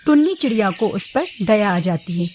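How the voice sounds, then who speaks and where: Woman reading kids cartoon story, sing-song and highish, faint noise n birds behind. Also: animated, normal speed. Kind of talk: children's bedtime cartoon narration.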